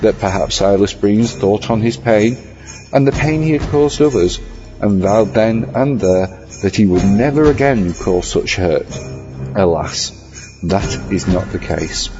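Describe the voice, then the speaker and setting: A man reading a scripted horror story as narrator, in an ornate, measured storytelling manner. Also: lowish voice, expressive. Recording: slightly dull audio over music. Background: music.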